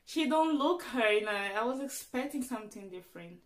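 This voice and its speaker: A young woman whose first language is Portuguese talks straight to her viewers in a personal vlog. Her voice sits a little high. She is earnest and halting, often stopping to restart a sentence as she works through it. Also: lively intonation. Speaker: vlogger.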